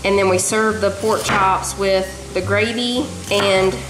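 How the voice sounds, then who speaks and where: A woman's voice: mid-range voice, fairly even intonation, slow, noisy background. A woman with a Southern US accent, chatting casually in a folksy way as she narrates a home cooking vlog. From Southern United States. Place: home kitchen.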